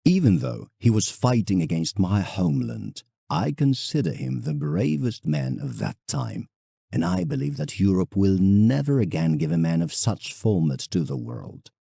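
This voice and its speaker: A man plays an elderly eccentric painter in an audio drama, reading his letter aloud. The voice is very low and heavily creaky, swinging widely in pitch as he muses theatrically. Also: wildly swooping pitch, normal speed, warm tone, dry recording, clean studio sound, exaggerated voice. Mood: musing, storytelling. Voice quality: gravelly, husky. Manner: theatrical, musing, conversational asides. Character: elderly eccentric English painter writing a fond, indignant letter.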